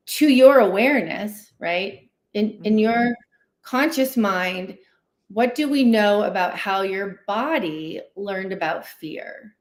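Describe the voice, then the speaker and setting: Woman, mid-pitched, interview guest on a livestream, animated explainer who checks in often, noticeably roomy sound. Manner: animated, acts out inner dialogue, often tags sentences with "right?". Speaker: guest therapist, licensed clinical social worker.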